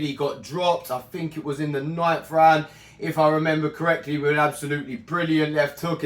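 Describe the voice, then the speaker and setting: Hoarse, croaky man chatting about boxing. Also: fairly high pitch, reverberant. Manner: chatty, rambling, self-correcting. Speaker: boxing channel host. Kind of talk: casual fight recap monologue.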